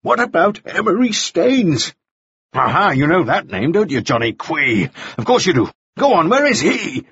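A man's voice: a little high, very animated, measured pace, a bit bright, slightly rough, no echo, dull audio, voice pushed up, heavily acted. A man voicing a furious, bullying gold-rush magnate in an audiobook, snarling and sarcastic. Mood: furious, desperate. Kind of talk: audiobook character voice.

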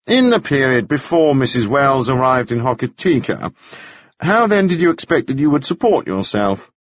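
A man's voice: mid-range voice, sing-song, moderate pace, dry recording, dull audio, pitched above the performer's own voice. A man voicing a sly male prosecutor who questions a witness with pointed, pleasant-sounding phrasing. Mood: probing.

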